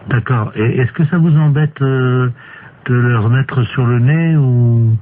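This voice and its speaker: A man playing a hesitant, deadpan male court official in an improvised telephone prank. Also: mid-range voice, slow, close to an everyday voice. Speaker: prank caller. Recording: tinny phone line with clear hiss.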